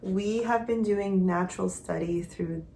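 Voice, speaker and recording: Gravelly-voiced homeschooling mother, faint background noise. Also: medium pitch, measured pace. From Quebec, Canada. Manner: gentle, rambling, searching for words. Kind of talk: casual vlog update.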